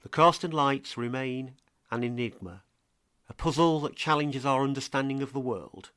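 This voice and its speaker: A man presenting a scripted true crime mystery podcast as an earnest investigator, with a measured, portentous delivery. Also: mid-range voice, a bit bright, clear, resonant tone, no room sound, clean studio sound, natural voice. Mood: grave, intriguing.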